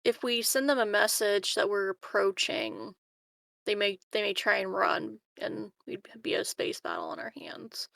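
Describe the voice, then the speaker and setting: A woman's voice: mid-range voice, big pitch swings, a little slow, creaky, fairly bright tone, dry recording. A woman playing a tabletop roleplaying game, chatting casually with her group. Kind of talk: casual chat.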